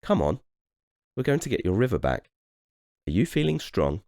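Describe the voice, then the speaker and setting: A man voicing a friendly, calm patchwork elephant who encourages others, in a children's storybook. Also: fairly low pitch, normal speed, no echo, clean studio sound, voice pushed up. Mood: encouraging.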